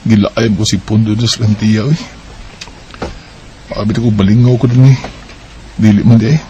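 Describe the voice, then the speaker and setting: A man's voice: fairly low pitch, little pitch movement, moderate pace, mellow, muffled recording, close to an everyday voice. A man with a Bisaya Filipino accent acting a restless, stubborn young village man in a radio drama. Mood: bored, scheming. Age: young adult. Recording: faint background noise.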